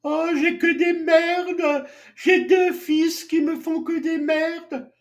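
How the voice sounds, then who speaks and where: Man doing a high shrill complaining woman, slow and whiny, a bit off-mic. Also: steady tone. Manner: high whining mimicry, drawn out. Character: tearful middle-aged woman complaining, whiny.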